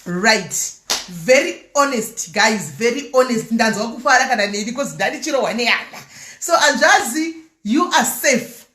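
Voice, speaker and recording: A Zimbabwean woman, native in Shona, chats as the host of a live call-in show. Her voice sits a little high. The room around her is clearly echoey, and her style is informal, switching between languages. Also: animated, moderate pace, pushed and bright. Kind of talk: casual live prank-call chat, mixing languages.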